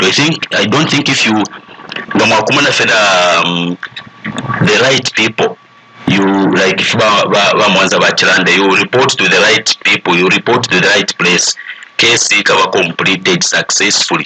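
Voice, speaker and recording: A man's voice: fairly low pitch, noisy background. A man with a Zambian accent speaking as an expert radio guest, making emphatic, repeated appeals and switching languages mid-sentence. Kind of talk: conversational advocacy in a radio interview.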